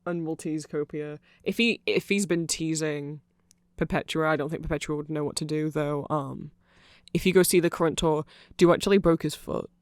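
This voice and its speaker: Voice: mid-range voice, fairly even intonation, normal speed, clear, resonant tone, no room sound. A person giving an informal, rambling ASMR-style explanation full of asides, close to the microphone. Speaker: ASMR audio creator.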